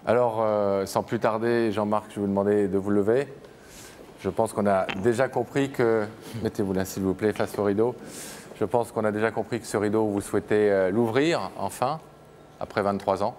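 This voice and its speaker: A man hosting a television show, gently guiding guests with frequent hesitations. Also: lowish voice, fairly even intonation, moderate pace, big-room sound, slight background noise. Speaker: TV show host. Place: TV studio set.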